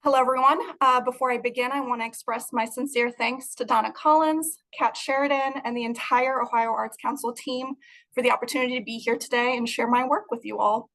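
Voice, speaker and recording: A woman's voice: on the high side, fairly even intonation, a little fast, fairly bright tone, low-quality recording. A young adult woman from Moldova, giving an earnest, prepared talk about her art over a video call. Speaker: guest artist.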